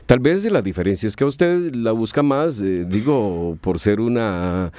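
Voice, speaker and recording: A man's voice: on the low side, lively intonation, a little slow, darkish tone. Patronizing, lilting male politician. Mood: flirtatious. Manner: condescending, placating. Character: smooth-talking, patronizing male politician, flirtatious and unbothered. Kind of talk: Spanish-language radio drama acting.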